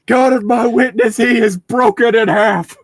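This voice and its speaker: A man's voice: very high-pitched, fairly even intonation, measured pace, dry recording, studio quality. A man chatting casually and jokingly with friends, prone to breaking into laughter. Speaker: co-host reading a book aloud with friends. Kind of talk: casual joking group chat.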